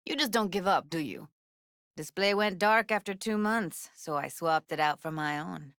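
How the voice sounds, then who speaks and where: A woman's voice: on the low side, moderate pace, fairly bright tone, dry recording, clean studio sound. A woman voicing a streetwise, guarded young techie in a video game, blunt and slangy, with an American accent. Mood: focused, dry. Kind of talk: naturalistic video game dialogue.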